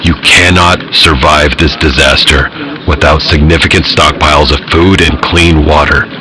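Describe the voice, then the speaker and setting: Man playing a very deep-voiced, grave broadcaster, noisy. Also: lightly acted. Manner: measured, solemn, emphatic. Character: grave, blunt male emergency radio broadcaster. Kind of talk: dramatized emergency broadcast.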